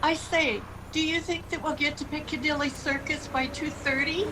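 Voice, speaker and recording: A woman's voice: high-pitched, steady tone, moderate pace, lightly acted. A woman playing an anxious, polite young boy in a radio play, earnest and hesitant. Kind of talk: theatrical radio play acting. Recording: noisy streamed radio play.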